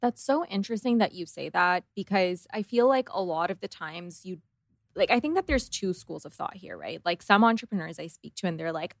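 A woman's voice: on the low side, creaky, no room sound, clean studio sound. A woman hosting an interview show, chatting casually and frankly and asking loose follow-up questions. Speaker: podcast host.

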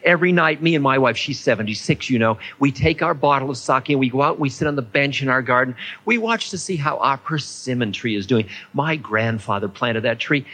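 A man's voice: medium pitch, animated, a little fast, few pauses, pushed and bright. A man voicing a tiny, cheerful old man in his seventies who chats with delight. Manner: beaming, delighted, chatty.

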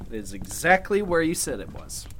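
A man's voice: medium pitch, very animated, moderate pace, fairly bright tone. Congressman for vintage-style radio thriller, male actor: arrogant yet capable, uneasy, swinging intonation, some noise behind. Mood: guarded. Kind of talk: golden age radio drama acting.

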